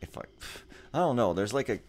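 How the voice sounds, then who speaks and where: A man's voice: medium pitch, big pitch swings, fairly quick, a little mellow. A man hosting a let's play, chatting casually over gameplay in a rambling, joking, laid-back way.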